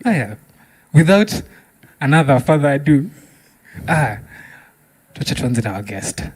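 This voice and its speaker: Animated Kenyan male show host. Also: mid-range voice, slow, breathy edge. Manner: animated, exclaiming reactions. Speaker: music talk show host. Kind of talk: casual bantering interview, switching between English and Swahili.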